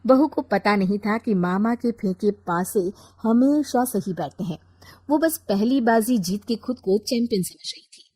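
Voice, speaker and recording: Animated woman narrating a story. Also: medium pitch, a little slow.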